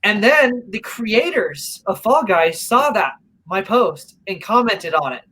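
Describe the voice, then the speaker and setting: High-voiced man over noticeable background noise. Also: expressive, slow, sharp tone, low-quality recording. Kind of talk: casual group chat about video games.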